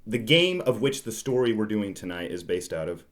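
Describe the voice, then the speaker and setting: Guy with a lowish voice, podcast host, just talking board games casually. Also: big pitch swings, moderate pace, fairly bright tone.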